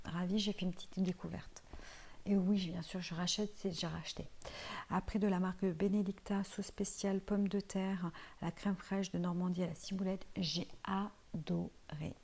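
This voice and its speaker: Woman, low and gravelly, informal chatty review vlog, frequent fillers, speaking flatly. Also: moderate pace. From Portuguese heritage, lives in France. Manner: chatty, many fillers, addresses viewer informally. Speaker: vlogger reviewing used-up products. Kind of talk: casual product review chat. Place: home, tiled room.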